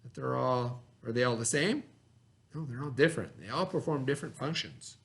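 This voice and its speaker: A man's voice: medium pitch, lively intonation, fairly quick, vocal fry, a bit bright. A man teaching a read-aloud lesson for children, speaking instructively, repeating key words and prompting with questions.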